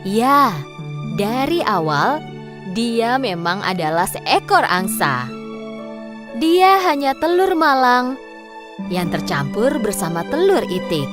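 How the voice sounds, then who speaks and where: A woman's voice: a little high, sing-song, takes it slowly, pauses often. A woman narrating a scripted children's story as a storyteller. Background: music.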